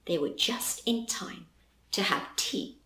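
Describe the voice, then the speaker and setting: A woman reading a children's story aloud as an expressive storyteller. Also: medium pitch, slow pace. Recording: roomy, echoing voice recording.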